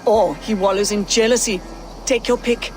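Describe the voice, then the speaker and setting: An actress playing a proud, defiant Viking shield-maiden in a video game, speaking in a deliberate, indignant way. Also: female voice, medium pitch, fairly even intonation, a little mellow, slight background noise, lightly acted. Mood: scornful.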